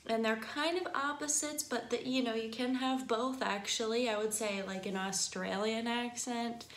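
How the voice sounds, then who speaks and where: A woman's voice: on the high side, steady tone, moderate pace. A woman from the northern United States with an Upper Midwest accent and a nasal voice, chatting casually as a singing teacher and laughing easily. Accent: Upper Midwest American. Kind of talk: casual instructional talk to camera. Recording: echoey room.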